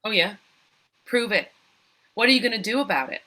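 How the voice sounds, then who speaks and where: A woman's voice: mid-range voice, lively intonation, brisk, sharp tone, rough recording. A woman voicing a scrappy mother rat in a comedy cartoon script, combative and sarcastic with folksy, slangy speech. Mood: defiant. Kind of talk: animated comedy table read.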